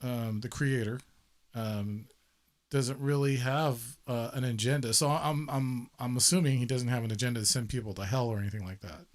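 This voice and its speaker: Host: man, mid-pitched voice, halting, lots of "you know" and restarts. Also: fairly even intonation. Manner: halting, many repeated words and fillers. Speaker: show host. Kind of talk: casual interview chat.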